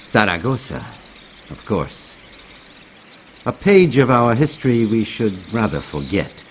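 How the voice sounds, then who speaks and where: An actor playing a suspicious, haughty military captain in a game, speaking with formal, probing disdain. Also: male voice, lowish voice, big pitch swings, unhurried, slight background noise. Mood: disdainful. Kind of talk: video game cutscene acting.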